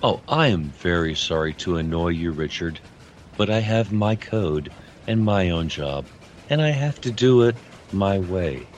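A man plays a mysterious harlequin spirit in a fantasy radio play. His voice is deep and slow, courteous and enigmatic, with compassion underneath. Some background noise sits behind him. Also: animated. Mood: polite, evasive. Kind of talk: audio fantasy drama acting.

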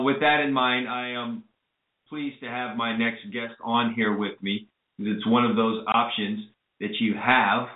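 A man hosting a call-in radio show, earnestly advising listeners and then bringing on a guest. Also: mid-range voice. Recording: echoey, phone-like lo-fi audio.

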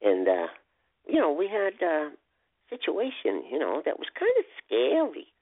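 Man as an excitable rambler with wildly swooping pitch. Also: a little slow, no echo, heavily acted. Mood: reminiscing. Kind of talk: rambling children's show introduction. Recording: thin, phone-like radio sound.

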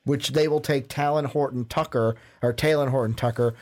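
A man's voice: medium pitch, steady tone, brisk, darkish tone. A man co-hosting a casual podcast, reading out a long list of picks in a plain way with filler ums. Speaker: podcast co-host.

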